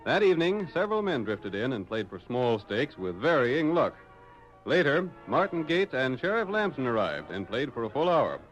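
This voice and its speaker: A man narrates in classic 1940s radio fashion with a mid-range, very animated voice. The vintage recording is muffled, with music behind him. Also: medium pitch, normal speed.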